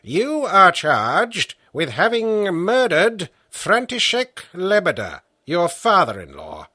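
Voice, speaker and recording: A man reading the part of a stern, patient judge who questions the accused formally and slowly. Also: sits high, wildly swooping pitch, sharp tone, no echo, studio quality, voice pushed up, exaggerated voice. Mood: stern questioning.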